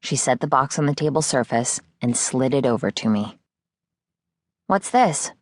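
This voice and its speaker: Female audiobook narrator, low register, first-person novel read, dry studio sound. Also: brisk, dry recording.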